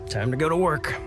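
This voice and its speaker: An actor playing an unhurried army patrol guard in a video game, musing casually. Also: male voice, fairly high pitch.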